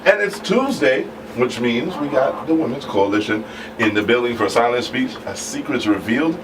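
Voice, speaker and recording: A noisy, slightly distant live radio recording of a male presenter. He banters casually in a mid-pitched voice, playful and informal, often repeating words. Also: animated, normal speed.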